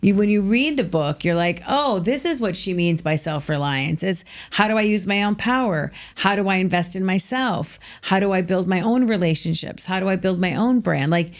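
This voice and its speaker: A middle-aged American woman telling her life story as a guest, energetic and emphatic, peppering her talk with "like". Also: mid-range voice, moderate pace, hardly any pauses. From United States. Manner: energetic, emphatic, filler-heavy with frequent "like". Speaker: guest, author. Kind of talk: candid storytelling interview.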